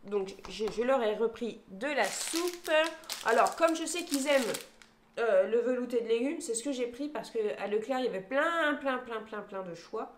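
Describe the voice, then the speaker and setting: Woman, 30s, slightly high voice, casual vlog chat, lots of "euh", roomy sound. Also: expressive, normal speed, a little hiss or hum. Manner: chatty, lots of hesitation fillers, lists items one by one. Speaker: vlogger showing her grocery haul. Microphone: phone camera.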